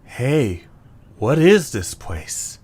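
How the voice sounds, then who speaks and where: A man's voice: mid-range voice, big pitch swings, very slow, darkish tone, voice pushed down, full character voice. A man playing an anxious, earnest young creature in an amateur audio drama, hesitant and pleading. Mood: unsure, downcast.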